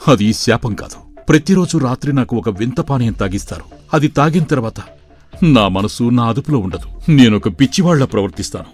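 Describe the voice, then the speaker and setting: A gentle young nobleman, weak and earnest as he pleads. His voice sits at a mid pitch, with soft music behind it. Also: lively intonation, fairly quick, breathy edge.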